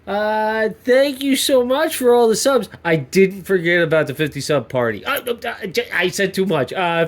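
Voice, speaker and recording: A man with a stuffy nose from a cold, rambling and joking as he hosts a workshop vlog, often cutting himself off mid-sentence. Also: fairly high pitch. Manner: rambling, self-interrupting, jokey. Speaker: YouTube maker channel host. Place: home workshop.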